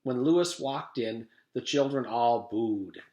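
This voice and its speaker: A man, a teacher, reading a chapter book aloud to his class in an expressive read-aloud manner. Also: mid-range voice, slow pace. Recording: echoey room.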